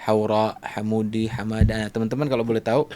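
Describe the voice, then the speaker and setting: A man's voice: fairly low pitch, level tone, fast, mellow. A middle-aged man hosting a casual interview, chatting informally and checking in with tag words. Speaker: podcast host.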